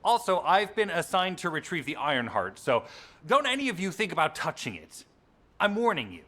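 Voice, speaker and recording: Cocky young game soldier, high sharp voice, lively intonation, condescending, sulky. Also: male voice, moderate pace, exaggerated voice. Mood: haughty, irritated. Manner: haughty, condescending. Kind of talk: video game voice acting.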